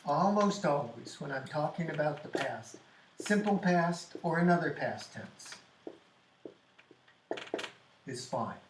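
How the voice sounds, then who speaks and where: An American man teaching an English grammar lesson, explaining slowly and repeating key phrases, often restarting his sentences. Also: on the high side, lively intonation, noisy background. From United States. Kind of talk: classroom grammar lesson at a whiteboard. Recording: echoey classroom.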